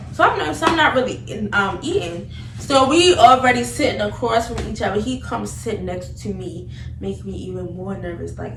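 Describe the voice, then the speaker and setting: Young woman telling a story, roomy noisy recording. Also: medium pitch. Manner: animated, slangy, repetitive retelling. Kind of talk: casual storytelling chat. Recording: roomy, distant mic, noticeable background noise.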